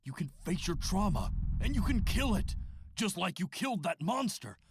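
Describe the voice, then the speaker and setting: A man playing a manipulative, middle-aged doctor in a radio drama, with a measured, clinical and coaxing way of talking. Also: sits high, sing-song, creaky, very noisy, exaggerated voice. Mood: intense. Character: calm, manipulative, sinister male doctor running an institute.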